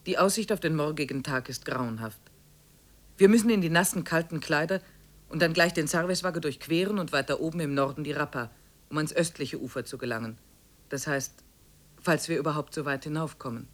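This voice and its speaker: An actor playing a grave, weary expedition diarist, narrating dramatically in a 1950s German radio drama. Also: male voice, on the high side, fairly bright tone.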